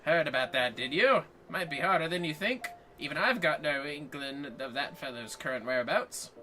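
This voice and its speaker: A woman voicing a cagey, rough-spoken male informant in a video game, wry and colloquial. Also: sits low, expressive, moderate pace, bright, forceful tone, soft background music, pitched below the performer's own voice. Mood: wry, guarded. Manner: colloquial, dropped grammar, wry.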